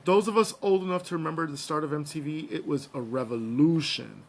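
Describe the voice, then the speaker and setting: A man hosting a casual podcast, slightly hoarse, playful and joking as he gives shout-outs and talks news. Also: a little high, brisk. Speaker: podcast host.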